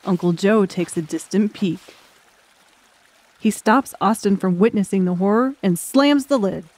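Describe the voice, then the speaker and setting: Female audiobook narrator, medium-pitched voice, scripted dramatic storytelling. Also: measured pace, a little mellow, slight background noise.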